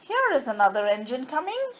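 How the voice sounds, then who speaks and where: A woman's voice: high-pitched, wildly swooping pitch, brisk, slight background noise. A woman voicing a funny little toy clown in a storybook, cheery and calling out. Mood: excited.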